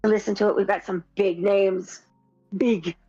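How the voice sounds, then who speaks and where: A woman co-hosting a casual podcast, halting with many fillers and quick to laugh. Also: medium pitch, steady tone, warm tone, husky. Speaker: podcast co-host. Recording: dull lo-fi sound with faint music.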